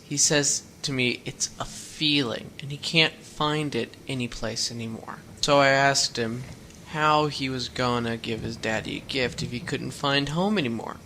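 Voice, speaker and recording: A man's voice: medium pitch, bright, forceful tone, slight background noise. An actor playing a fifteen-year-old runaway boy in a radio drama, talking in a plain, folksy, rambling way. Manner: plain-spoken, rambling storyteller with folksy words. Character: teenage runaway boy, fifteen, earnest and guarded. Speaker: hitchhiking runaway.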